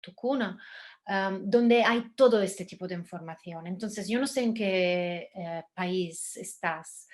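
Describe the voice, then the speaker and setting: A woman from Spain with a Spanish accent, giving calm, gentle advice on a video call, pausing and restarting often. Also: mid-range voice. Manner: gentle, halting, with many pauses and restarts. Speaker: guest speaker, survivor. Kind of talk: calm advice in a live talk.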